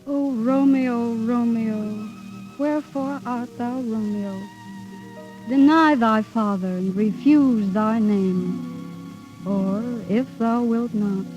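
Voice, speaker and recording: Young female acting student: high voice, theatrical, headstrong, vintage drama delivery. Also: slow pace, lo-fi sound, music in the background. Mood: romantic, declaiming. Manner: theatrical, emphatic. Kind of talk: old film or radio drama acting.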